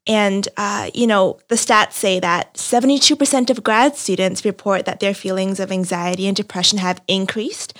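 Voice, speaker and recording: A woman's voice: medium pitch, steady tone, normal speed, hardly any pauses, a bit bright. A woman co-hosting a podcast in a friendly, enthusiastic way, with an occasional "uh" or "um". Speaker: podcast co-host. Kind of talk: casual podcast chat.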